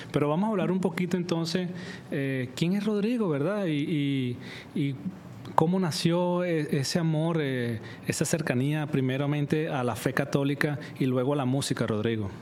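A man's voice: on the high side, moderate pace, a little mellow, a little hiss or hum. A man hosting a religious talk show, upbeat as he prays and introduces the guest. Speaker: host.

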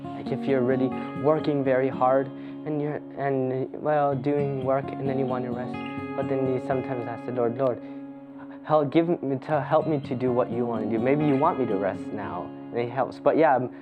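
A man's voice: medium pitch, steady tone, moderate pace, phone-quality sound. A man speaking earnestly and devoutly in a casual faith discussion. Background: guitar music.

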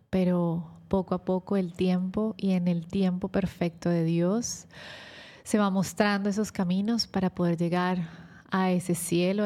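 A woman hosts a faith-based talk show in a gentle, devout, rambling way. Her voice sits a bit low, and she moves easily between chatting and praying. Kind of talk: warm faith-based interview with prayer.

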